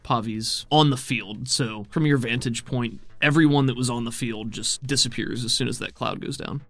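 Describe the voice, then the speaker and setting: A man's voice: medium pitch, moderate pace, a bit bright, no room sound. A man working as game master, narrating and explaining a tabletop roleplaying game in a descriptive way. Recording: music behind the voice. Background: music.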